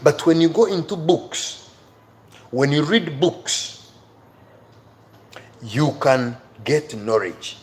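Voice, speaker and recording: A man's voice: fairly high pitch, animated, slow pace, long pauses. A man with an East African accent teaching a live online English class informally, emphatically repeating key words and phrases. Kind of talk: informal live-stream lesson, addressing viewers by name.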